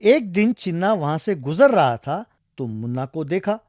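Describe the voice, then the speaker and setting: Children's story narrator, mid pitch, expressive melodic delivery, studio-dry recording. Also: normal speed, dry recording.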